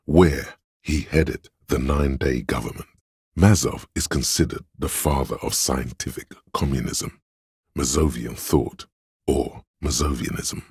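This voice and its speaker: Very deep, husky know-it-all inner voice. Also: male voice, no echo, studio quality, exaggerated voice. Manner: erudite, matter-of-fact, deadpan. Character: pedantic, dry male inner voice of encyclopedic knowledge. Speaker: game skill narrator. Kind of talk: scripted game narration, encyclopedic asides.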